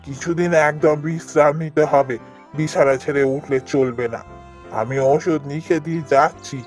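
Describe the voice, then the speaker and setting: A man's voice: fairly high pitch, darkish tone, close to an everyday voice. A man voicing a village healer in a cartoon, giving advice in a firm, matter-of-fact way. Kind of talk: cartoon voice acting.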